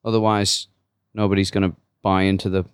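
A man's voice: sits low, little pitch movement, smooth, no room sound, clean studio sound. A man hosting a podcast, joking and firing off questions in casual chat. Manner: joking, asks quick questions. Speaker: podcast host.

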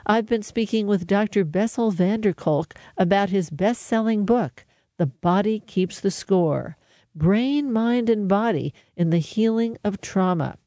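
Woman radio host, mellow voice, dry close sound, announcing. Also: medium pitch, dry recording. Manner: measured, announcing. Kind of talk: scripted radio narration.

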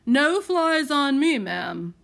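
A woman's voice: high voice, very animated, slow, gravelly, a bit bright, pitched above the performer's own voice, heavily acted. Woman putting on a pompous, blustering aristocratic lord. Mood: boastful. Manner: pompous, emphatic. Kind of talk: acted storybook dialogue.

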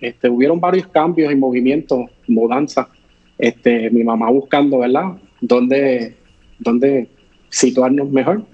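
A man's voice: medium pitch, measured pace, slightly rough, muffled recording. A man who grew up speaking English, chatting as an interview guest and rambling with lots of fillers and restarts. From born in Chicago, raised partly in Puerto Rico. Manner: rambling, many fillers and restarts, laughs often. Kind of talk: casual live interview chat.

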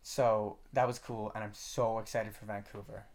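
A teenage man chatting casually as a vlogger, excitable and rambling, swearing for emphasis. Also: lowish voice, normal speed. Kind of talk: casual chat.

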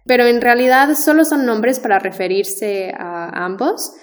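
A woman's voice: a little high, a little slow. Conversational Mexican woman teacher. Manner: conversational, explains with asides and tag questions. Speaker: Spanish teacher, podcast co-host. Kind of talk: casual podcast chat.

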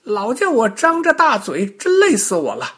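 A man voicing put-upon stationery, a fussy pencil case or cap in a storybook, whining and loudly complaining. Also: very high voice, huge pitch swings, moderate pace, slightly rough, pitched above the performer's own voice, exaggerated voice. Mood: aggrieved.

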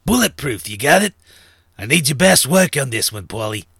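A man's voice: medium pitch, very animated, normal speed, vocal fry, fairly bright tone, husky, dry recording, studio quality, exaggerated voice. A man voicing a short, thin, sly underworld fence and information broker in a narrated story, with chummy, streetwise slang. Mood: serious, commanding. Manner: streetwise gangster slang, chummy and self-assured. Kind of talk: acted character dialogue in a narrated story.

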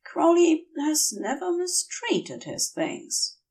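Slow, expressive woman reading a story. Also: high-pitched, recorded from a distance. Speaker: fan fiction audiobook reader. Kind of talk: expressive scripted story narration.

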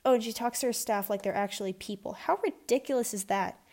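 A woman's voice: fairly high pitch, quick pace, darkish tone. A woman voicing a snobbish, spiteful eleven-year-old girl in a children's audiobook, with a haughty, sneering manner. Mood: scornful. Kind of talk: children's audiobook character dialogue.

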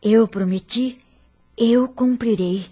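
An actress playing a dignified, forgiving middle-aged wife in a radio drama, with a Brazilian accent and an earnest, formal delivery. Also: female voice, a little high, slow, dark tone, breathy edge. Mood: earnest, resolute.

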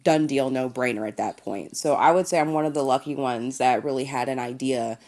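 A woman in her twenties from Indiana, a TV news anchor, telling her story as a guest with a slightly raspy voice and frequent laughs. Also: a bass voice, a little fast. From Indiana, USA. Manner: warm storytelling, laughs often. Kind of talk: interview answers.

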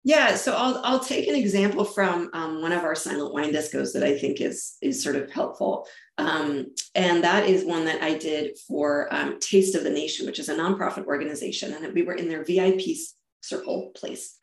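A woman's voice: low-pitched, moderate pace, vocal fry, echoey room. A woman from Germany, a founder talking through her event business in an interview, explaining with enthusiasm and frequent restarts. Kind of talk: conversational interview answers.

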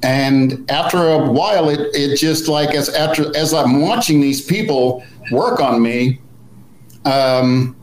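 A man's voice: medium pitch, slow. 65-year-old man on a roomy call. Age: 60s. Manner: plainspoken, folksy storytelling. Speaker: co-host. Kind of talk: casual live-stream chat. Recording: roomy echo with faint background hum.

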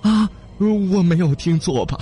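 A man's voice: high-pitched, a little slow, mellow, faint music behind, pitched above the performer's own voice. A man voicing a frightened old fisherman in a storybook tale, begging a demon in a raised, flustered voice. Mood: indignant. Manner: flustered, pleading.

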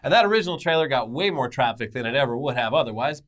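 A man's voice: mid-range voice, expressive, fast, a bit bright. A man co-hosting an entertainment news show with semi-scripted commentary and casual banter, emphatic, irreverent and sarcastic.

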